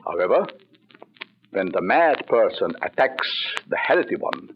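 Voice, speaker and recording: An actor playing a vengeful, sadistic doctor in an old radio horror drama, taunting his captives in a measured, menacing way. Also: on the low side, very animated. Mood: coldly mocking. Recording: dull, noisy old radio recording.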